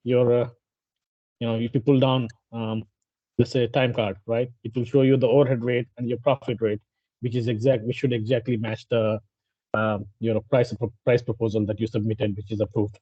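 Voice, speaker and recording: A man on staff speaking in an informal work meeting, halting and polite, repeating phrases as he goes. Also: mid-range voice, flat delivery, no echo, lo-fi sound. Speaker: staff member in a training meeting.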